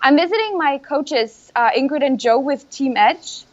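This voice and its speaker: A young woman from Iceland answers interview questions in English with an Icelandic accent, her first language being Icelandic. She talks softly and modestly and giggles between answers. Her voice sits a little high, and the recording sounds dull and lo-fi. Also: expressive, normal speed. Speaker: bikini bodybuilding competitor.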